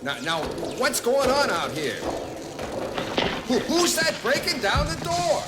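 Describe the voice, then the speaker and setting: An actor playing a flustered, panicky commander who splutters and repeats words in a comic radio drama. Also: male voice, extremely high pitch, wildly swooping pitch, takes it slowly, over loud music, heavily acted. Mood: flustered, alarmed. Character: flustered, panicky male commander of foot soldiers.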